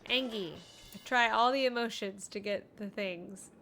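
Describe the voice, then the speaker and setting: A woman's voice: fairly high pitch, a little slow, sharp tone. A woman chatting casually as she streams a game, playful and exclamatory, often talking to herself. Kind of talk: casual playthrough commentary. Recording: game music behind the voice. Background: video game music.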